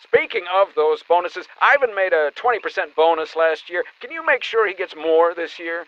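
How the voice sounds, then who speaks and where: Flaky zen boss calling in, super animated high voice, earnest, muffled phone line, audio comedy. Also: male voice, moderate pace. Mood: breezy, evasive. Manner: breezy, new-age earnest. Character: flaky, spiritual male agency boss, earnest and evasive. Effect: phone call filter. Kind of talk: comedy audio drama acting. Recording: dull band-limited phone call.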